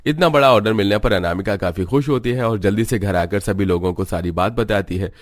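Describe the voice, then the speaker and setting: Low-pitched male story narrator. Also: fairly quick, dry recording, studio quality. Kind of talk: scripted cartoon story narration.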